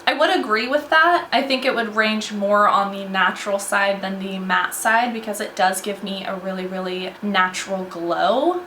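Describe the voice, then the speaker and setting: A woman's voice: medium pitch, a bit bright, echoey room, slight background noise. A young woman doing a casual makeup review, chatty and enthusiastic, getting over a cold and coughing now and then. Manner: chatty, enthusiastic, repeats words for emphasis. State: recovering from a cold, occasional cough. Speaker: beauty vlogger.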